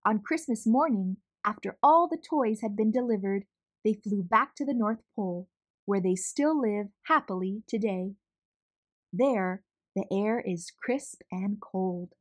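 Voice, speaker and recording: A woman narrating a children's storybook as a read-aloud host, expressive, measured and clearly enunciated. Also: medium pitch.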